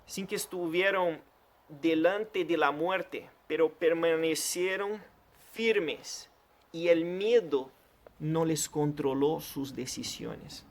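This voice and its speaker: Man, Portuguese native speaker, pastor, gravelly, fairly high voice, earnest sermon talk. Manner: earnest, direct address to the listener, slowing for emphasis.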